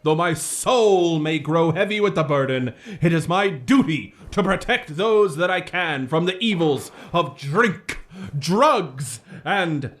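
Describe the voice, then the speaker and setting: An actor playing a pious, condescending evangelical preacher in a melodramatic 1950s radio drama, quoting scripture in a pompous, theatrical way. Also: male voice, fairly high pitch, lively intonation, slow pace, fairly bright tone. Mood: solemn preaching.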